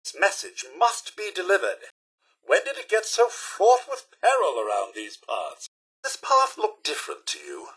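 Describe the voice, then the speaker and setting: An actor playing a fussy, servile robot butler in a video game, with a British accent, a raspy voice and pompous, overly formal manners. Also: male voice, sits high, huge pitch swings, unhurried, fairly bright tone, full character voice, poor audio. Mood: dutiful, musing. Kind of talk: theatrical video game character lines.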